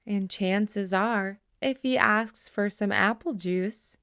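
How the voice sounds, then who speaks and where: A woman reading a children's picture book aloud in an expressive way, slowing down for the closing lines. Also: medium pitch, no echo, studio quality. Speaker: storybook reader. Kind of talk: children's read-aloud narration.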